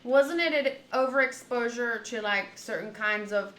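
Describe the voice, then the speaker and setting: A woman streaming a visual novel, reading text aloud and thinking out loud in an animated, rambling way. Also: a little high, moderate pace. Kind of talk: reading game text aloud with casual commentary. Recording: roomy echo, faint background noise.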